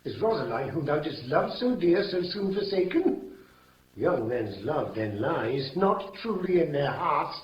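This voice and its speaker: An actor playing a scolding but kindly friar in a 1950s radio Shakespeare play, declaiming as a mentor to the young. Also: male voice, a little high, sing-song, measured pace, a little hiss or hum. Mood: chiding. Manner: declamatory, chiding. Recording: dull, echoey old radio recording.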